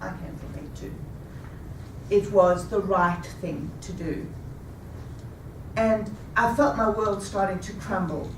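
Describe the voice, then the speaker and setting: Woman, fifties, rehearsed personal story, unhurried, recorded far off in a roomy hall with audible background noise. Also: mid-range voice. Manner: measured, deliberate delivery. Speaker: speaker. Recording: echoey room, taken from a distance.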